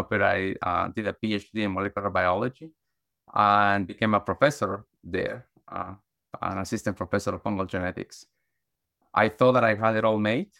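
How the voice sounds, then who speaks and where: A man's voice: fairly low pitch, vocal fry, fairly bright tone, no room sound, clean studio sound. A middle-aged man from Guatemala, a podcast guest, telling his life story earnestly with many restarts and fillers. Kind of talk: conversational storytelling.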